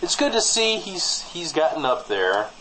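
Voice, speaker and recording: A man playing a wry small-town nephew in improvised comedy banter, speaking hesitantly with dry, deadpan wit. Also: on the high side, moderate pace, slight background noise, poor audio. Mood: wry, exasperated. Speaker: podcast co-host playing a character.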